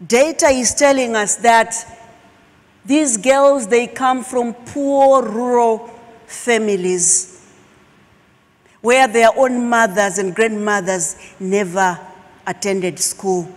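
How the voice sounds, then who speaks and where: A middle-aged woman from Zimbabwe, a Shona speaker, telling her personal story as a keynote speaker with emphatic pauses. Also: on the high side. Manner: slow, emphatic, dramatic pauses. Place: large auditorium stage. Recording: long hall reverb.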